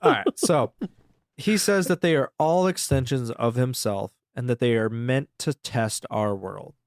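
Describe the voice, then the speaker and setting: A man's voice: medium pitch, measured pace, dry recording, clean studio sound. A man co-hosting a podcast, recapping a story in a rambling, casual way and swearing freely. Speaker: podcast co-host.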